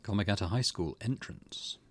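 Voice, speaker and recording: A man hosting a gaming channel, reading game text aloud and commenting in a low-key way. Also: normal speed.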